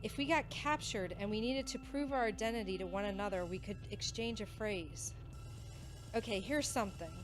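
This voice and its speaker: A woman's voice: mid-range voice, expressive, moderate pace, over music, lightly acted. A woman playing an earnest, curious young academy student and wife in an audio drama. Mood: worried. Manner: earnest, concerned.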